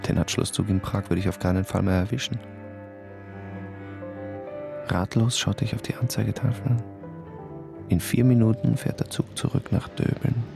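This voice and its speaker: A man tells a radio play in the first person. His voice sits low and gravelly, and he keeps it quiet and inward. Soft music runs faintly under the studio recording. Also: fairly even intonation, moderate pace, pauses often. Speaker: narrator and lead in a radio play.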